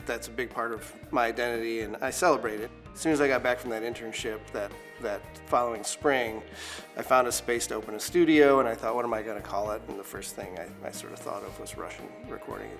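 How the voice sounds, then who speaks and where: A man in his forties, a studio owner, speaks in a relaxed documentary interview. His talk wanders, full of fillers and restarts, and faint music plays quietly beneath it. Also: mid-range voice, quick pace, creaky. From United States.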